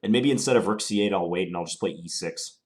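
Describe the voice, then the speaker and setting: Very deep-voiced man with a cold. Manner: deliberate, musing, unhurried analysis. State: stuffed up from a cold. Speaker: chess player commentating his own game. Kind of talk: thinking aloud while playing.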